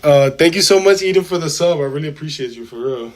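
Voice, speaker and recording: A man's voice: fairly high pitch, lively intonation, fast talker, a little hiss or hum. A man from the Dominican Republic livestreaming, reading aloud and chatting casually with viewers in an informal, slangy way. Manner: slangy, informal asides to chat. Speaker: live streamer.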